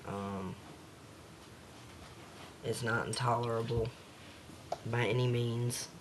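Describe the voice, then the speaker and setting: A man with a slightly raspy voice talking hesitantly in a casual home video diary, with many pauses and fillers. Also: mid-range voice, steady tone, noisy background.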